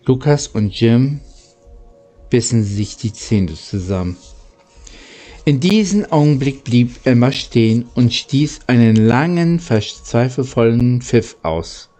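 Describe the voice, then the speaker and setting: Guy reading a kids story real slow, mellow voice, trips on words a bit. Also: mid-range voice, expressive, soft background music. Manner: halting, stumbling over words. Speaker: audiobook narrator. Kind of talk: scripted children's story narration.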